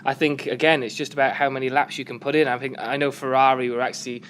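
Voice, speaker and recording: A man's voice: mid-range voice, flat delivery, fast talker, fairly bright tone, slight background noise. A man hosting a podcast, asking questions in casual chat with frequent fillers. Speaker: podcast host.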